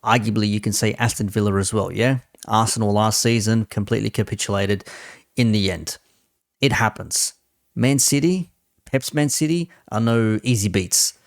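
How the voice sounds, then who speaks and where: A man's voice: fairly low pitch, normal speed, no room sound, studio quality. A man giving casual, opinionated commentary as a host, blunt and sweary in a conversational way. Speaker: host reacting to a football debate stream.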